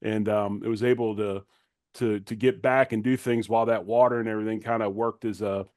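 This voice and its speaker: A man's voice: fairly low pitch, little pitch movement, a little fast, darkish tone, no room sound. Plain-spoken man talking football, dry sound. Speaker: guest, former football player turned sports commentator. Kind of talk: conversational sports analysis.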